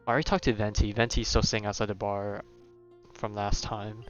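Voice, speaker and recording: A man's voice: lowish voice, little pitch movement, fairly quick, muffled recording, soft background music. A man giving casual, rambling, laid-back live commentary as a game streamer.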